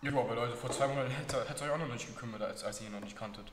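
A man's voice: medium pitch, rapid-fire. A teenage boy stammers hesitantly through his lines. The classroom sound is echoey with a long tail and faint noise. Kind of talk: amateur short film acting. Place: classroom indoors.

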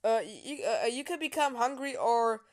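Casual gamer girl, highish voice, jokey, stumbles over words, excitable. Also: fairly quick. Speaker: gamer streaming with a friend. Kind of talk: casual gaming chat.

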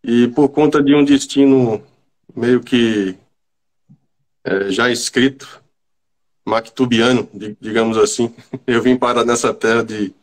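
A man from Bahia, a writer guest, speaking haltingly over a video call while recovering from a cold. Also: medium pitch. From Bahia, Brazil. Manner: halting, repeats words while searching. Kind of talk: casual video-call interview. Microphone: video call from home.

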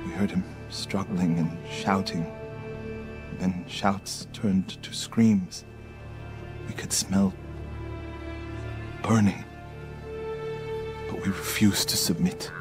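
Low-voiced, weary warrior monk. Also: male voice, fairly even intonation, music in the background. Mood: grieving, haunted. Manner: halting, somber, respectful. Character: weary, grieving male warrior monk, respectful survivor. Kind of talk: cinematic game drama acting.

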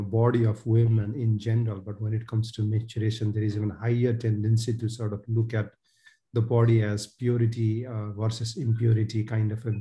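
A man's voice: on the low side, dark tone. A man, speaking earnestly as a panelist in a webinar over a headset on a video call. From Nepal. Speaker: panelist, advocacy co-director. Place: home room on a video call.

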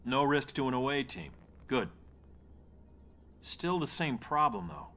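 An actor playing a businesslike superhero in a video game, brisk, questioning and matter-of-fact. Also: male voice, mid-range voice, big pitch swings, fairly bright tone. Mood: focused. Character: brisk businesslike male superhero planning a mission. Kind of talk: video game cutscene acting.

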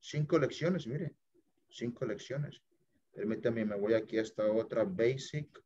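A man's voice: medium pitch, a little slow, mellow, husky. A man teaching an English class over a video call, walking students through an app and checking in with them often, mixing in English phrases. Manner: chatty, checks in with "listo?" and "ok?", mixes English phrases. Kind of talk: screen-share app walkthrough for students. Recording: dull lo-fi video call audio.

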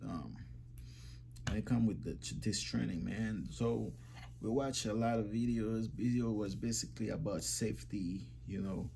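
A man's voice: mid-range voice, mellow, slight background noise. A young man in his twenties talking casually to the camera from a small room, rambling and repeating himself as he addresses viewers as guys. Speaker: trucking vlogger.

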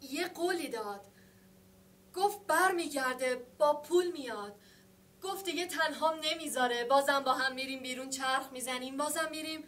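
An actress playing a lonely young woman waiting for her brother, speaking in a theatrical monologue of short, halting phrases. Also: sits high, fairly bright tone. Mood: hushed, wistful. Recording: roomy sound from a distant mic.

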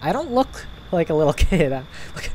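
A young man with a stuffy nose, chatting and reacting out loud to scares as he plays a horror game at home. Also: a little high, very animated, normal speed, slight background noise, low-quality recording. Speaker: gaming YouTuber. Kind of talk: horror game let's play commentary. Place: home gaming room, indoors.

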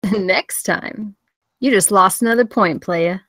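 A woman reading the part of a sassy, confident high school girl in a screenplay table read, playful and casual. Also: slow, a bit bright, no room sound, studio quality. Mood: teasing.

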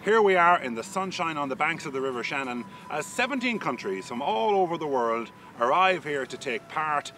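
A man's voice: fairly high pitch, very animated, normal speed, hardly any pauses, bright, forceful tone, a little hiss or hum. A middle-aged Irish man presenting a promotional piece to camera outdoors on a riverside promenade, upbeat and promotional. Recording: with music behind, mic a bit distant.

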